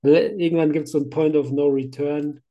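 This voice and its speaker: A man's voice: on the high side, fairly even intonation, fairly quick, dull audio. A man in his thirties with a cold, hosting a casual podcast and rambling along cheerfully with frequent laughs. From Germany. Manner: chatty, laughs often, rambling. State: has a cold. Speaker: podcast host, site manager.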